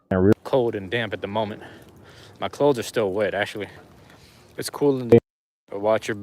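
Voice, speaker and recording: A man's voice: on the low side, mellow, no echo. A man livestreaming outdoors in light rain, chatting casually with viewers on his phone in a slangy, rambling way. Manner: laid-back, slangy, repeats himself. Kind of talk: casual rambling live chat. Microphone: phone camera.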